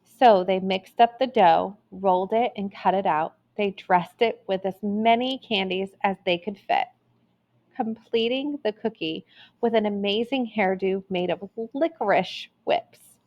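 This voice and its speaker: A woman storyteller reading a children's tale aloud in an expressive, deliberate way with dramatic emphasis. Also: mid-range voice, highs cut off.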